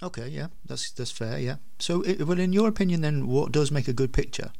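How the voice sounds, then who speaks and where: A man hosting a podcast interview, asking questions in a measured, polite way. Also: fairly high pitch, gravelly, darkish tone. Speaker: podcast host.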